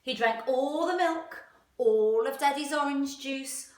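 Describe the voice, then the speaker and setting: A woman with a high voice reads a picture book aloud as a primary teacher. She is expressive and speaks directly to young children. The sound is roomy, with the microphone some way off. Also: slow. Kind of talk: children's storybook read-aloud. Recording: roomy echo, distant microphone.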